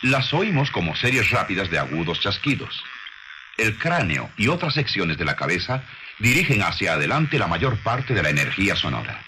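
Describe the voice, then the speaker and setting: Male documentary narrator. Low register, expressive melodic delivery, measured and didactic. Scripted science read with clear background noise.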